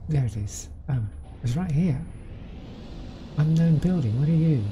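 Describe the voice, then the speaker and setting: A woman's voice: low voice, animated, unhurried, dark tone, a little hiss or hum. A woman with a Southern English accent chatting casually as she plays a game, rambling with plenty of ums. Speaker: game streamer. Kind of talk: casual let's-play commentary.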